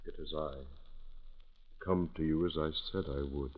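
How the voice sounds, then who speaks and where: Cavernous-voiced demon suitor. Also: male voice, rumbling low, fairly even intonation. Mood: eerie, assured. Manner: slow, solemn, commanding. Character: commanding demon stranger, a mysterious suitor from dreams. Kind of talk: old-time radio drama acting. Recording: lo-fi vintage radio broadcast recording.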